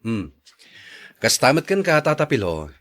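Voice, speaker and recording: A man acting a mild, soft-hearted working husband in a radio drama, placating and easygoing. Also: medium pitch, wildly swooping pitch, a little slow.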